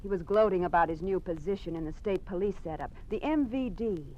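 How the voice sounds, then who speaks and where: A woman's voice: lowish voice, a little fast, natural voice. An actress playing an anxious, devoted young woman in an old-time radio drama, speaking earnestly and carefully on a muffled, noisy old recording. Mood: alarmed.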